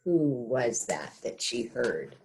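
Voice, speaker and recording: A woman reading a storybook aloud to young children in an expressive teacher's manner, pausing to check in with the listeners. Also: extremely low pitch, creaky, a little hiss or hum.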